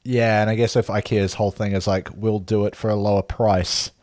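A man's voice: lowish voice, flat delivery, a little mellow, dry recording, studio quality. A man co-hosting a podcast, chatting casually in an easygoing, agreeable way. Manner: easygoing, agreeable, quick to laugh along. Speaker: podcast co-host. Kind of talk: casual chat.

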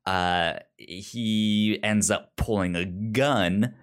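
A man's voice: fairly low pitch, extremely slow. A man co-hosting a podcast, casually discussing comic books and informally acting out bits of paraphrased dialogue as he goes. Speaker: podcast co-host.

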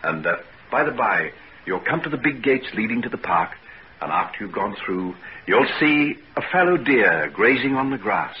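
An actor playing a kindly, earnest doctor with a gentle, reassuring manner, in 1940s radio drama style. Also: male voice, on the low side, very animated, normal speed. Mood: tender, coaxing. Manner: gentle, reassuring, solemnly determined. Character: earnest, kindly male doctor soothing a child patient. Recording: muffled, noisy 1940s radio recording.

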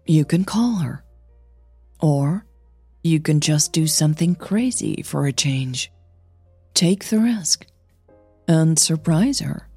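Woman doing a casual daring late-night visitor lady, audio drama, slow n mellow. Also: sits low, animated, breathy edge, dry recording, faint music behind, full character voice. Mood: casual, coaxing. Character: casual, daring woman coaxing her friend.

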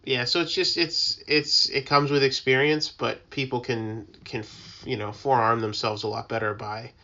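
A man talking casually as a guest, explaining things informally and haltingly as he thinks aloud. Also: medium pitch, little pitch movement, pushed and bright, highs cut off. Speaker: tugboat mariner, podcast guest.

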